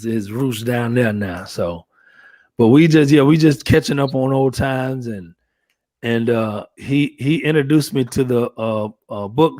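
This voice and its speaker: A man's voice: medium pitch, a little mellow. A man in his fifties hosting a casual chat, rambling with many restarts and fillers. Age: 50s. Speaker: podcast host.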